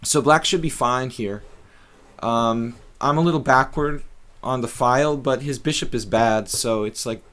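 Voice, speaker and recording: A man's voice: medium pitch, a little slow, fairly bright tone. A middle-aged man casually commentating his own chess games on a live stream, thinking aloud with many fillers.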